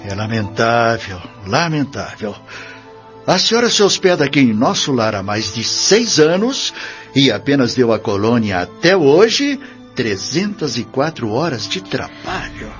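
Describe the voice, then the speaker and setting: A man's voice: mid-range voice, sing-song, measured pace, a bit bright. An actor with a Brazilian accent playing a kindly but firm male minister in an audio drama, formal and authoritative. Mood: grave, firm. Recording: dull lo-fi audio with music bed.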